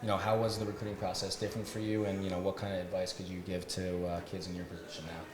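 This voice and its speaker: A man co-hosting an interview, asking questions in quick, chatty bursts with lots of "yeah" and "you know". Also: low voice, little pitch movement, reverberant. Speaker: co-host. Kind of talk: casual interview chat.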